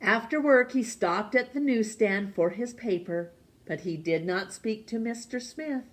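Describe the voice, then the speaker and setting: A woman reading a children's picture book aloud for storytime, gently and expressively. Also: medium pitch. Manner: gentle, slow and expressive, voicing characters.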